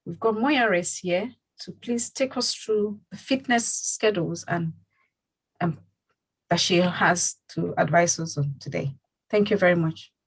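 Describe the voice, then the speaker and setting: A woman's voice: lowish voice, unhurried, husky, low-quality recording. A woman hosting a community talk show, speaking casually and rambling with many fillers and restarts. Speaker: host.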